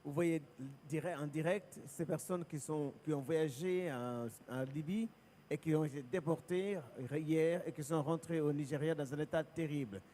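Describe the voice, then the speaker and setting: A man interpreting a church broadcast live, with a clear announcer delivery. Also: fairly high pitch, normal speed, mellow, slight background noise. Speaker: French interpreter.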